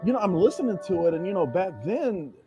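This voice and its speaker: A man's voice: high voice, lively intonation, fast talker, music in the background. A man chatting casually as a guest on a show. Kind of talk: casual chat.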